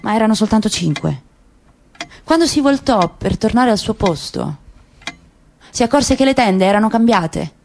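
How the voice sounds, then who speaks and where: A woman narrating a literary story for radio, slow and lingering with dramatic pauses. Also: medium pitch, lively intonation, creaky, a little mellow, a little hiss or hum.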